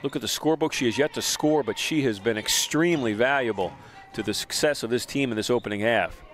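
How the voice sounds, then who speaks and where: A man giving brisk, informative play-by-play commentary on a college basketball game. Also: medium pitch. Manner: brisk, informative, names players quickly. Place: college gym broadcast booth.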